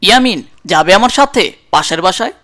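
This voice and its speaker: A woman voicing a caring young guardian in a children's cartoon, coaxing and gently teasing, with a Bangladeshi accent. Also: mid-range voice, animated, brisk, lightly acted.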